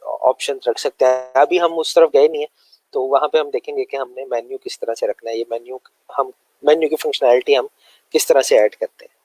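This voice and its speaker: A man's voice: medium pitch, fairly even intonation, a little fast, a little mellow, no echo, low-quality recording. A man with a sore throat, giving a loose, conversational screen-share lesson full of fillers. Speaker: web development tutor.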